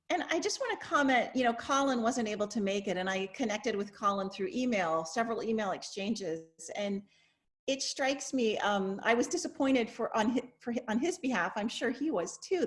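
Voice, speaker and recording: An expressive woman speaking reflectively as a professor hosting an online discussion over a video call. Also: medium pitch, moderate pace. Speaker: host, English professor.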